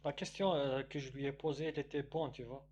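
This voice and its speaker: A man's voice: mid-range voice, fairly even intonation, a little fast, darkish tone. A man who is a native Arabic speaker, debating casually and often restarting his phrases. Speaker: debate panelist. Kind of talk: casual live debate chat. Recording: noisy mic with a hum.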